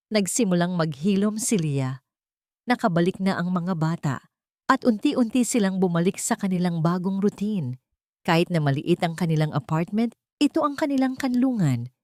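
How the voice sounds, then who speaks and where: A woman tells a dramatic story from a script. Her voice sits a little low for a woman, and the recording is very dry and close, with no room sound around it. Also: darkish tone, dry recording.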